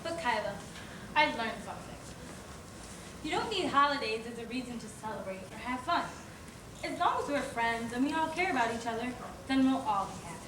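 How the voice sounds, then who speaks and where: A woman's voice: a little high, expressive, brisk, natural voice. A woman playing a supportive, earnest sea creature friend in a children's stage play. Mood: consoling. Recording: echoey stage, distant mic, noisy.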